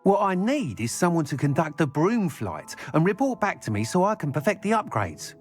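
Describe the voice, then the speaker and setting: Animated, eager broom shop salesman. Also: fairly high pitch, normal speed, faint music behind. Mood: keen, scheming. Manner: enthusiastic salesman's patter. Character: eager, chatty male broom shopkeeper and inventor. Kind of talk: scripted game dialogue.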